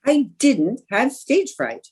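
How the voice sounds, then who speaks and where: A woman's voice: sits high, very animated, slow, darkish tone, breathy edge, exaggerated voice. A woman playing a scheming, stubborn, stagestruck housewife in old-time radio comedy, coaxing and indignant in a theatrical way. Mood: defiant. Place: remote video-call production.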